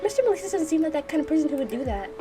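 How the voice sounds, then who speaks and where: A scheming young match peddler from a visual novel. The voice is very high and swoops about, whining and begging with put-on tears over music. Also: female voice, very animated, very fast. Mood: tearful begging. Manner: whiny, melodramatic begging. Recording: music behind the voice.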